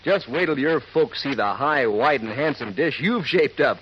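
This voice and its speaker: A man's voice: medium pitch, animated, normal speed, highs cut off, slight background noise. A man with an American accent reading a folksy, hearty 1940s radio advertisement in cowboy slang, on an old recording. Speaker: radio pitchman.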